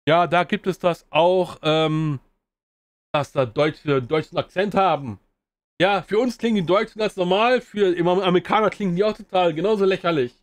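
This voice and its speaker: A man's voice: sits high, normal speed, no echo. A man giving a casual spoken review of an old video game, rambling with many fillers and restarts.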